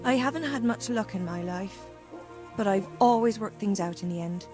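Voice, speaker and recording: An actress playing a resigned, stoic young peasant widow who speaks plainly in a video game cutscene. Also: female voice, medium pitch, normal speed, over music, close to an everyday voice.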